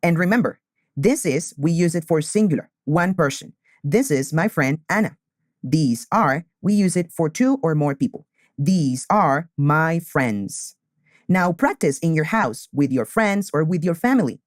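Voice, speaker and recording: A man, teaching beginner English and repeating key phrases slowly. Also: fairly high pitch, animated, no echo, clean studio sound. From Colombia. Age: 20s.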